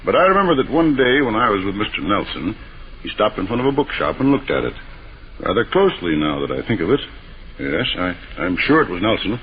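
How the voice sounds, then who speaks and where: Low, emphatic businessman witness. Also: big pitch swings. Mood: cooperative, recollecting. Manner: deliberate, recollecting, emphatic. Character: eager, emphatic businessman witness, a male partner. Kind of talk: old-time radio mystery acting. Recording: dull, noisy old radio broadcast recording.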